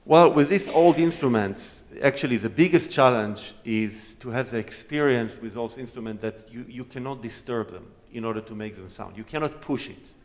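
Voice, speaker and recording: A man's voice: medium pitch, moderate pace, few pauses, far from the mic. Hebrew-speaking cellist man, echoey hall. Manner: friendly, explanatory, demonstrates as he talks. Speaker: guest cellist. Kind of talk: Q&A talk with a concert audience. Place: concert hall.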